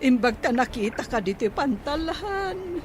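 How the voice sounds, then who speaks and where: A woman's voice: high voice, big pitch swings, measured pace. A middle-aged woman with an Ilocano accent playing a tearful mother waiting at a pier. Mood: distraught. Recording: crowd noise behind.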